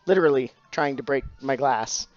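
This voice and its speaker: A man's voice: medium pitch, moderate pace, no echo, highs cut off. Chatty dorky middle-aged man. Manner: chatty, dorky dad humor, thanks followers. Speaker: stained glass streamer. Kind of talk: casual live-stream chat.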